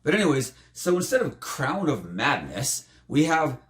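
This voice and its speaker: A slightly hoarse man telling a tabletop game story in a casual, animated way. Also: moderate pace. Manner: animated, jumps up in pitch for emphasis. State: slightly hoarse, losing his voice. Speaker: tabletop game player recounting a campaign. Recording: roomy, echoey room.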